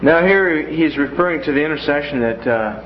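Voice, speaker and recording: A man's voice: on the high side, moderate pace. Man teaching a folksy Bible class. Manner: folksy, joking asides. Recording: dull lo-fi classroom recording with faint noise.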